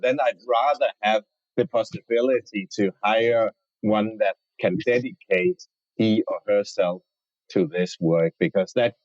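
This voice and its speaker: A fairly deep-voiced man speaks as a researcher being interviewed. His delivery is measured and a little hesitant. The sound is somewhat dull, with very little room echo. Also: a little mellow. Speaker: academic researcher, interview guest. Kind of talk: conversational interview answers.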